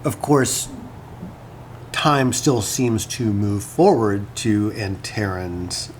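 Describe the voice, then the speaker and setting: An actor playing a scholar who muses hesitantly over notes on an ancient myth in an audio drama, pausing between short phrases, with noise behind him. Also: male voice, fairly low pitch, expressive. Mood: fascinated, pensive. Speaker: scholar and commentator. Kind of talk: audio drama monologue as informal annotated commentary.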